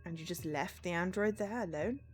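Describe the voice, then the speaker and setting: A woman's voice: on the low side, fairly quick, soft background music, lightly acted. A woman voicing a tough, suspicious female investigator in a cyberpunk game, speaking bluntly. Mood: fed up.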